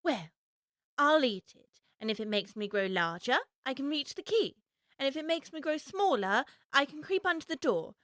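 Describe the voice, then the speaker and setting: Young girl, bright ringing tone, curious, prim, expressive self-talk, audiobook storybook character, close dry sound. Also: sits high, normal speed, no echo. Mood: determined. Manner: earnest, expressive talking to herself. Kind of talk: full-cast audiobook acting.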